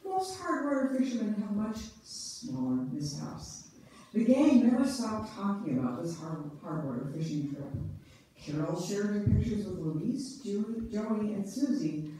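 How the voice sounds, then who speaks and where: A woman with a mellow tone reads scripted narration on stage. Her voice echoes in a church, with faint noise. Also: mid-range voice, big pitch swings, unhurried, recorded from a distance. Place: church sanctuary stage, indoors. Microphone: distant audience camera.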